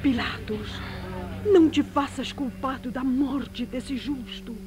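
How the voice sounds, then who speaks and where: An actress playing a governor's wife, giving a solemn, imploring warning in a religious drama. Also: female voice, high-pitched, slow pace, music in the background. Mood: pleading, solemn. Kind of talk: theatrical religious audio drama.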